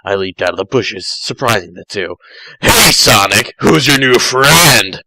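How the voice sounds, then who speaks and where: Man putting on a solemn, gruff male cartoon echidna, lowered pitch, slow deliberate halting delivery, watchful. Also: very animated, sharp tone, no room sound, heavily acted, low-quality recording. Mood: questioning. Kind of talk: amateur cartoon fan fiction reading.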